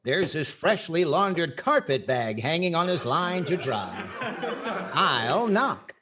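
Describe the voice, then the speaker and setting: A man's voice: on the high side, sing-song, slow. An older man playing a wry, wisecracking comedy host in a 1940s radio style. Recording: clear background noise and clicks.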